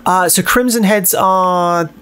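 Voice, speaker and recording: A man's voice: sits high, slow pace, pushed and bright, clean tone. A man playing a video game, commenting casually and reading notes aloud, often hesitating and repeating himself. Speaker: let's play host and player.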